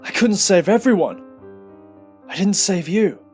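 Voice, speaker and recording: A man playing a young male superhero in an audio drama, earnest and emotional, quick with quips. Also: high-pitched, animated, soft background music, voice pushed up. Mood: anguished guilt. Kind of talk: audio drama hero acting.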